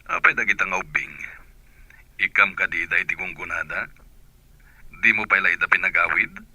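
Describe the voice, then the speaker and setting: An actor playing a woman's calm, helpful male friend in an audio drama, talking casually and asking questions. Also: sits low, normal speed, heavily acted, low-quality recording. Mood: calm, curious.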